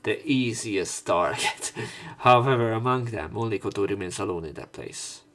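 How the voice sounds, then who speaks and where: A man's voice: lowish voice, moderate pace, fairly bright tone. A man doing a let's play, reading a visual novel's narration and dialogue aloud with informal, sometimes sweary asides. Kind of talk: narrated reading with offhand commentary.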